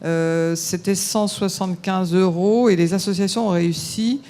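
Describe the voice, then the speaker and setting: High, gravelly-voiced man speaking up. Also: steady tone, mellow. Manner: halting, informal, many restarts. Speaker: local elected official. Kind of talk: audience remark at a conference.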